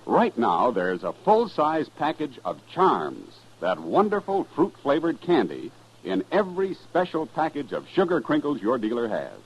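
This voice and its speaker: A man's voice: fairly high pitch, huge pitch swings, measured pace, husky. A man announcing a 1950s radio commercial as a folksy, enthusiastic salesman. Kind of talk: 1950s radio advertising pitch. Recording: dull vintage radio recording.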